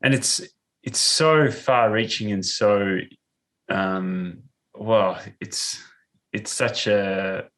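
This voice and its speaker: A man hosting a podcast interview, asking questions in a halting way with many fillers. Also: on the low side, a bit bright. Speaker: podcast host.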